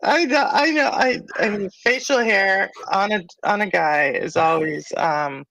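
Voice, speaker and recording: A woman's voice: mid-range voice, unhurried, creaky, fairly bright tone, rough recording. A woman hosting a live-stream talk show, chatting casually, talking to the viewers and laughing often. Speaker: podcast host.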